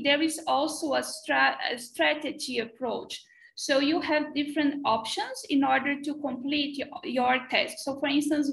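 Woman, Portuguese native, high voice, slight fry, headset video call, steady explaining. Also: low-quality recording. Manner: steady explanatory answers, frequent "so". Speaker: doctoral candidate. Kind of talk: answering examiners at a thesis defense. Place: small bright room indoors.

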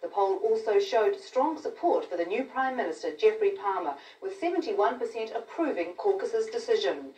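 A woman's voice: mid-range voice, expressive, moderate pace, few pauses, a little mellow. A woman in her thirties with a New Zealand accent, reading scripted television news. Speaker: news presenter. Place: television newsroom studio. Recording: roomy, distant capture of TV broadcast.

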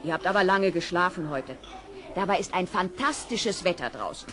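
A woman's voice: lowish voice, normal speed, lightly acted. An actress playing a friendly, chatty hotel landlady in an audio drama. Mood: cheerful. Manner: chatty, obliging. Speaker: voice actor. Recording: faint background noise, old audio drama.

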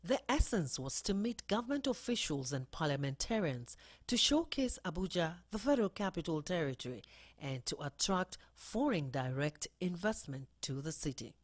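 Low-voiced woman reading a news report. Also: big pitch swings, moderate pace, dry recording. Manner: formal reporting. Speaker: news narrator. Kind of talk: scripted news voice-over.

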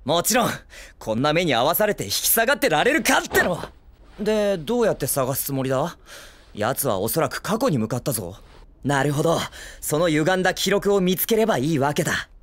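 A man voicing a brash, excitable teenage boy hero in an anime film, with cocky, eager, boyish speech. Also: fairly high pitch, fairly quick, slight background noise. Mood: curious, friendly. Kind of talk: Japanese anime film acting.

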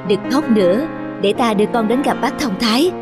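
A woman's voice: a little high, animated, a little fast, over loud music, natural voice. A woman voicing an anxious male cat in a cartoon, an earnest, worried foster parent. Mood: concerned. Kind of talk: cartoon character acting.

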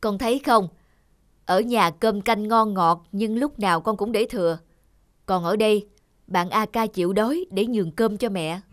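A woman voicing a patient cartoon father who gently explains and teaches things to his young son. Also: mid-range voice, normal speed, no echo, studio quality, close to an everyday voice. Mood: gentle, proud. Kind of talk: cartoon voice acting.

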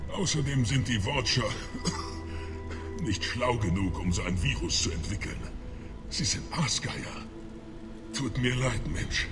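An actor playing a bitter, plague-sick alien in a video game, speaking gravely and weakly in measured, formal words. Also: male voice, mid-range voice. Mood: bitter, insistent. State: sick, coughing, weakened. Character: dying plague-sick male alien, bitter, suspicious of humans. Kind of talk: video game dialogue acting. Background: game music.

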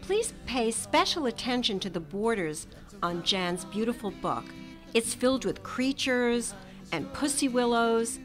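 A woman reading a storybook aloud to children in a gentle, expressive way. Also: fairly high pitch, soft background music. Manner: gentle, expressive, slow and lively. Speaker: storybook reader.

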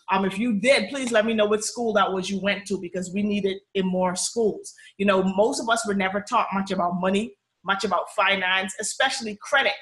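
A woman's voice: medium pitch, a bit bright, low-quality recording. Upbeat Bahamian woman hosting a webinar. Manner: chatty, upbeat, frequent "you know" and "okay?" check-ins. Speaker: personal finance webinar host. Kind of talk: live webinar presentation.

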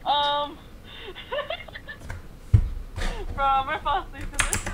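A woman's voice: sits high, sing-song, very slow, a little hiss or hum. A woman chatting casually as a guest reacting over an online voice call. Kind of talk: casual chat.

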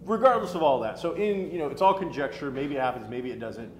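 An American man in his thirties hosting a casual geek culture chat, talking in an animated, chatty way. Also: medium pitch, fast. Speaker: talk show host. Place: roomy indoor restaurant at a table. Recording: roomy echo, faint background noise.

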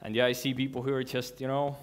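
Guy doing a conference talk in a big dark hall, earnest and kinda halting, lots of restarts. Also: medium pitch, monotone. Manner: earnest, halting, with restarts and non-native grammar. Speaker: conference speaker. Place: large dark hall with a seated audience.

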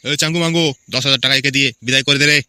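A man's voice: medium pitch, fairly even intonation, very fast, sharp tone, a little hiss or hum. A man voicing a bullying, crooked village chief and moneylender in a cartoon, crude and threatening. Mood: bossy. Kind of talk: Bengali cartoon voice acting.

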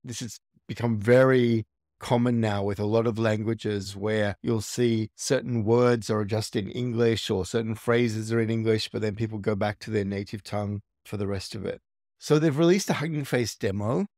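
A man's voice: fairly low pitch, steady tone, moderate pace, darkish tone, no echo, studio quality. A man hosting a tech tutorial, casually walking through a screen recording and thinking aloud with small restarts.

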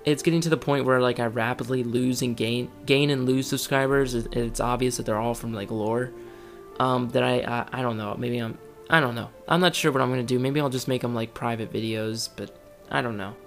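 A young man giving a casual audio update to his subscribers as a gaming creator, rambling and often circling back to rephrase. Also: mid-range voice.

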